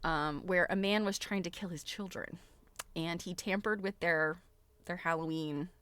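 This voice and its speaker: A woman's voice: on the low side, fairly even intonation, measured pace, fairly bright tone, clear, resonant tone, no room sound. A middle-aged woman hosting a casual livestream, chatty and giggly, often breaking into exclamations. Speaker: livestream host.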